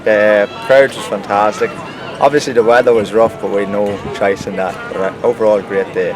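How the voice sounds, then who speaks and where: Low-voiced Northern Irish male racer, brief and upbeat, with music behind him. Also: steady tone, brisk. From Ireland. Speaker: race participant. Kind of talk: street interview.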